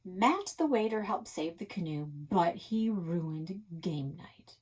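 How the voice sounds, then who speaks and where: A woman's voice: on the low side, lively intonation, takes it slowly, a little mellow, slightly rough. A woman reading a children's novel aloud as its first-person narrator, expressively, leaning on key words. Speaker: read-aloud reader for a public library.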